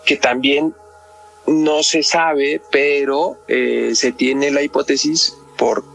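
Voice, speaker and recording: Gravelly Mexican man explaining, music behind. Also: a little high, fairly even intonation, slow pace, fairly bright tone. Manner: explains step by step, often adding tag questions. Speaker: psychoanalyst, podcast guest. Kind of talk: explanatory conversation about a crime case.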